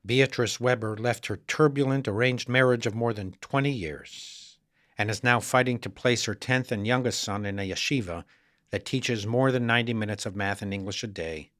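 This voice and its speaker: A man's voice: medium pitch, normal speed, fairly bright tone, no room sound, studio quality. A man, a rabbi hosting an interview podcast with scripted introductions and questions.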